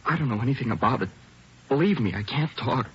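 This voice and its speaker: A man's voice: medium pitch, animated, fast talker, slightly rough. An actor playing a frightened, knifed teenage boy who pleads in a rising, panicky voice in a 1940s radio drama. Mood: pleading, panicked. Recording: muffled old radio broadcast.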